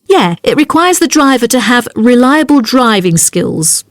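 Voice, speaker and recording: Female job agency adviser, helpful n businesslike, scripted dialogue, highish pitch, no room echo. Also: big pitch swings, a little slow, clean studio sound. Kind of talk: scripted listening-test dialogue.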